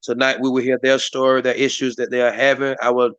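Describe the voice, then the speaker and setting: Hoarse man hosting a stream. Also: mid-range voice, level tone, quick pace, fairly bright tone, dry recording, dull audio. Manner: rambling, many fillers and restarts. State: hoarse, voice partly lost. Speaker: community activist hosting a live stream meeting. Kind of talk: casual hosted talk. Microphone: live stream mic.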